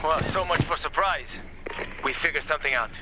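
A man's voice: fairly high pitch, fairly quick, lightly acted. An actor playing a sardonic male survivor guide in a video game, urgent, wry and sweary. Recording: loud game noise behind voice.

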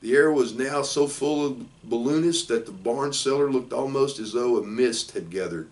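Older male storybook reader, medium pitch, measured phrasing, recorded a little way from the microphone in a room. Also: far from the mic. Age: older, around 60. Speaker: football coach reading a children's book aloud. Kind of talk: storybook read-aloud. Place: small indoor office. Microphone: camera a few feet away.

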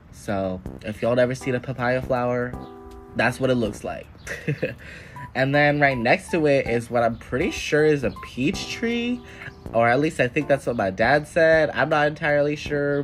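A young man in his twenties, congested and nasal from a cold, giving a chatty, upbeat tour of garden plants. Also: mid-range voice. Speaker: plant vlogger. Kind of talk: casual plant tour voice-over. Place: indoor room with plants.

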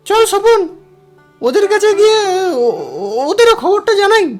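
An eager young village lad with an extremely high cartoon voice. The delivery is bouncy and varied, over background music. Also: very animated, normal speed. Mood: concerned. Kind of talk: animated moral story dialogue.

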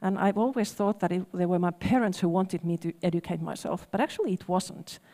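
A woman's voice: on the low side, dark tone. Woman musing in a big hall. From Finland. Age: 40s. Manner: reflective, halting with many restarts. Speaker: panelist. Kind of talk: panel discussion. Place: large event hall on stage. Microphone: headset mic. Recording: long hall reverb.

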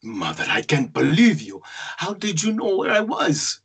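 A man's voice: fairly high pitch, a little slow, breathy edge, exaggerated voice. A man playing an exasperated adult son who tells of his boyhood love of movies in a theatrical screenplay reading. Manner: expressive, theatrical storytelling. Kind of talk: screenplay table read, dramatic monologue. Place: indoors on a group video call.

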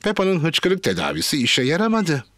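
A man narrating a children's cartoon in a clear storybook manner, with music behind him. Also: fairly high pitch, huge pitch swings, moderate pace, noisy background.

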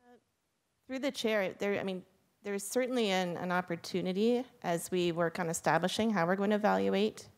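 A woman's voice: mid-range voice, fairly quick. A woman from city planning staff answering questions at a council meeting, cautious and full of fillers.

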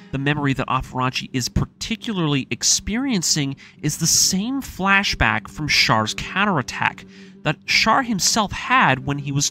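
A man's voice: fairly high pitch, bright, forceful tone. A man hosting a scripted story breakdown, speaking in an informal, opinionated and emphatic way with casual asides. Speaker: video essay host. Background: background music.

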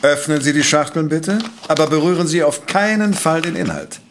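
A man's voice: on the high side, moderate pace, a little hiss or hum, natural voice. A man playing a courteous, formal, authoritative professor detective in a German audio drama. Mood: calm, probing. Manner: formal, precise, calm.

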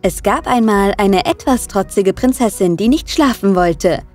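A woman's voice: medium pitch, lively intonation, a little slow, darkish tone, close to an everyday voice. A woman narrating a scripted children's cartoon story. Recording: music behind the narration.

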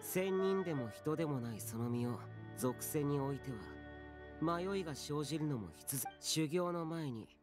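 Stern, aloof young immortal warrior. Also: male voice, on the high side, expressive, unhurried. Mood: grave. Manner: curt, archaic, imperious. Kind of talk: anime video game voice acting. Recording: music under the voice. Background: game music.

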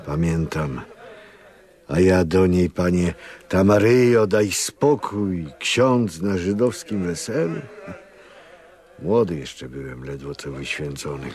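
Slow, deep-voiced gruff old priest. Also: male voice, darkish tone. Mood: reminiscing. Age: older. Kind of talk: radio drama acting.

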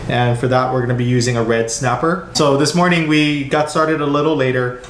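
A man's voice: medium pitch, fairly quick. A man, giving a casual cooking demonstration in a home kitchen. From Hawaii, US. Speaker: home cook demonstrating a recipe. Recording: roomy kitchen echo with background noise.

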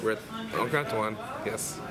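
A man's voice: mid-range voice, big pitch swings, normal speed, a loud crowd. A man in his thirties vlogging casually at night, enthusiastically tasting and rating a beer. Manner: enthusiastic, rating beers out of ten. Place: outdoors on a lit old town street at night. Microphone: camera held at arm's length.

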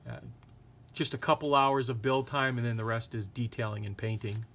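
A man hosting a casual scale-model painting tutorial, explaining each step in a relaxed way. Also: mid-range voice, normal speed. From United States. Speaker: hobby shop host. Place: hobby workbench indoors.

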